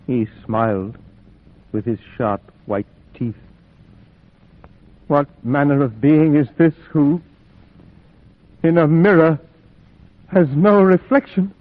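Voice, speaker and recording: Scared young man trapped and desperate, high voice, dramatic, muffled 1940s radio sound. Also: slow, pauses often, a little hiss or hum. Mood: fearful. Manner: intense, dramatic. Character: desperate, frightened young male captive keeping a journal. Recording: dull 1940s radio recording.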